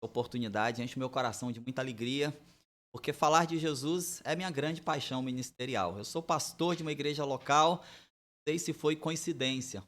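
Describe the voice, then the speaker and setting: Man in his forties, from Bahia, pastor, easygoing storyteller, mid-pitched voice. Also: brisk, a bit bright. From Bahia, Brazil. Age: 40s. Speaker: pastor and missionary guest. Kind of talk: relaxed podcast interview, testimony.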